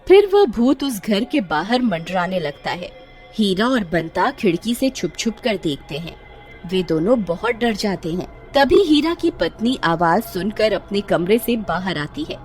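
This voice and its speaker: Lively woman narrator over soft music. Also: mid-range voice, unhurried. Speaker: story narrator. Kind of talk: dramatic scripted children's horror story narration.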